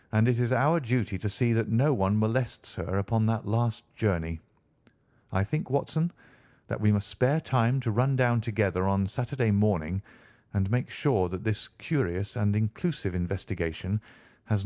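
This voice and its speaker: A man voicing a confident, wry gentleman detective in an audiobook, speaking in an articulate, measured way. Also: lowish voice, no echo, lightly acted. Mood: grave. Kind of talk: audiobook character reading.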